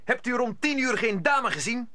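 An actor playing an ardent, earnest young musketeer in a radio play. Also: male voice, very high voice, lively intonation, moderate pace, pushed and bright, heavily acted. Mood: anxious.

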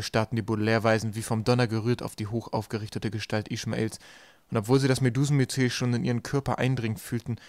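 A man's voice: medium pitch, no room sound. A man reading scripted storybook narration as an audiobook narrator.

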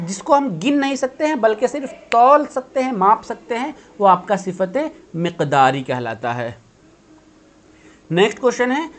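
A man's voice: high voice, fairly bright tone. Man patiently teaching grammar online. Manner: patient explaining, repeats key terms, asks and answers own questions. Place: indoor room.

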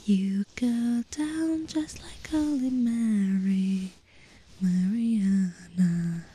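A woman voicing a possessive, teasing female demon in a breathy, coaxing ASMR roleplay. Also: mid-range voice, dark tone, exaggerated voice. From Italy. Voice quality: breathy, whispery.

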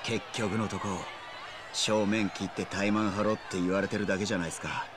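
An actor playing a cocky, hot-headed young yakuza underling in a game, brash, informal and defiant. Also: male voice, lowish voice, level tone, brisk, natural voice. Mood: confident. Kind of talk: video game cutscene acting. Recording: noisy crowd in the background.

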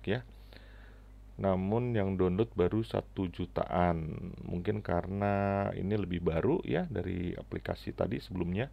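A middle-aged man giving an unscripted app tutorial in halting short phrases, recorded on a headset with dull sound. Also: low-pitched, gravelly. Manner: halting, short phrases with frequent tag words. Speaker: tutorial presenter.

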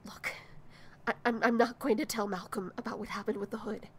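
A woman's voice: medium pitch, steady tone, a little fast, slight background noise, lightly acted. A woman playing an anxious, remorseful woman in an audio drama, hesitant and pleading. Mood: pleading, defensive.

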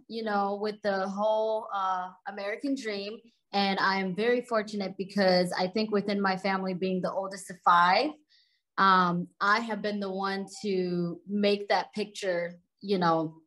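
A woman's voice: mid-range voice, a bit bright. A woman in her thirties from Sudan, a native Arabic speaker, chatting as an interview guest and laughing easily as she tells her story. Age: 30s. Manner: chatty, laughs while telling stories.

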